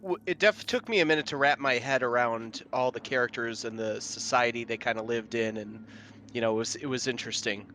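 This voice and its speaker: A man's voice: medium pitch, fairly quick, bright, forceful tone, a little hiss or hum. A man chatting casually and enthusiastically, with some slang, as a player in a tabletop game. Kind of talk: casual chat.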